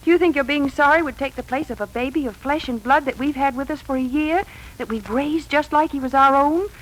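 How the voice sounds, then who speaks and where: A woman's voice: high-pitched, a little fast, natural voice, low-quality recording. Earnest, devoted mother played by a woman, radio drama. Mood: pleading, indignant. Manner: earnest, emotional, heartfelt. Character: devoted, pleading young adoptive mother. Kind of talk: 1940s radio drama acting. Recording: old 1940s radio recording.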